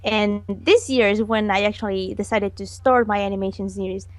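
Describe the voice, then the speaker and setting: A woman's voice: mid-range voice, steady tone, moderate pace, dry recording. A woman animator answering interview questions, hesitant and giggly, with many fillers. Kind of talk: casual online interview.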